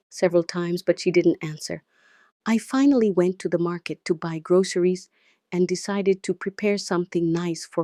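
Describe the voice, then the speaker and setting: Low-pitched Nigerian woman narrating, mellow and dry. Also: dry recording. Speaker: storyteller. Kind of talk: dramatic first-person story narration.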